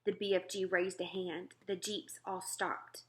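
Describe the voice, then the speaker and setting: A woman narrating a children's storybook aloud expressively, with dramatic, deliberate emphasis. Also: fairly low pitch, flat delivery, slight background noise.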